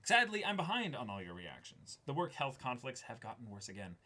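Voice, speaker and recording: A young man co-hosting a show, chatting casually and reading aloud with halting, thoughtful phrasing. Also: mid-range voice, wildly swooping pitch, bright, forceful tone. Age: young adult. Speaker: co-host of an unboxing show. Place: small indoor room.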